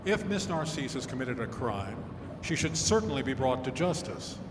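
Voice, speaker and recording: In a noisy, echoing hall, a man plays an earnest clergyman investigator from an old-fashioned radio play. His mid-pitched voice is proper and courteous, with carefully articulated, formal phrasing. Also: very animated, normal speed, natural voice. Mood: firm, formal. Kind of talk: old-time radio drama acting. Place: live stage staged as a radio studio. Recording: echoey hall with clear background noise.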